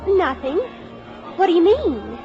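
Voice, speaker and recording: Self-conscious teen girl, high pitch, fretful, earnest, 1950s radio drama, muffled vintage sound. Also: sing-song, measured pace, gravelly, exaggerated voice. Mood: nervous. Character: anxious, eager teenage daughter, self-conscious. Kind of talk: 1950s radio comedy acting. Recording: dull old radio recording with music.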